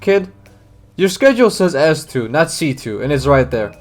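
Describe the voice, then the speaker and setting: A man's voice: a little high, very animated, moderate pace. Casual young man. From Georgia (country). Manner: casual, edgy, sarcastic. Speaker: YouTuber. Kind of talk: comedic storytelling narration. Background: background music.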